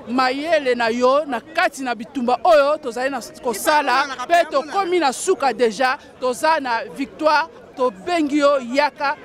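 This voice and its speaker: A Congolese woman gives an impassioned political address as a protester at a street rally. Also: high-pitched. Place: outdoors at a city rally. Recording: outdoor street with crowd noise.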